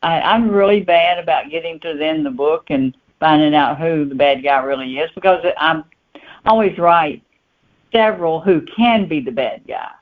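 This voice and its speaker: A woman author chats casually as an interview guest, telling things in a halting way and repeating words. The sound is narrow and tinny, like a telephone. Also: sits low. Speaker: novelist guest being interviewed. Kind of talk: casual, anecdotal interview chat. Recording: tinny phone-line sound.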